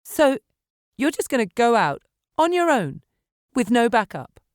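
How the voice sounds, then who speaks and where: A woman's voice: on the high side, big pitch swings, a little slow, dry recording, clean studio sound, pitched above the performer's own voice. A woman narrator voicing a frantic, defiant woman in an audiobook, urgent and pleading. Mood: anxious, challenging. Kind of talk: audiobook character acting.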